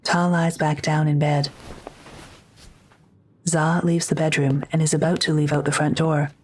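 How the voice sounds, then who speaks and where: Even, lower-pitched female narrator. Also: measured pace, slight background noise. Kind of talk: scripted present-tense drama narration.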